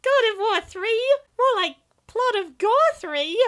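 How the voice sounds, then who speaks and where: A woman's voice: extremely high pitch, lively intonation, takes it slowly, a bit bright, full character voice. A woman voicing a sardonic, opinionated young female gamer in a comic dub, given to ranting about games. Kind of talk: comic dub dialogue, gaming banter.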